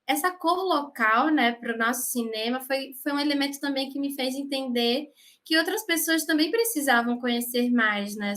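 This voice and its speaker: A woman's voice: sits high, a bit bright. A woman with an Alagoas accent, a filmmaker guest speaking earnestly in a podcast conversation, often tagging her sentences with questions. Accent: Alagoas, Brazil. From Brazil. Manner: earnest, frequent tag questions.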